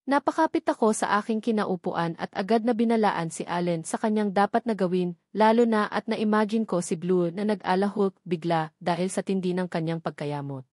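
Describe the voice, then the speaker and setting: A woman's voice: medium pitch, normal speed, darkish tone, no room sound. Filipino woman narrating, close dry sound. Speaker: audiobook narrator. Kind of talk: scripted first-person story narration.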